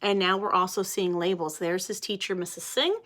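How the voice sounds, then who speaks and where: A woman's voice: on the low side, moderate pace. A woman teacher reading a picture book aloud to children, stopping to ask them questions. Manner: animated, addresses children directly. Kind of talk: read-aloud storytime for children.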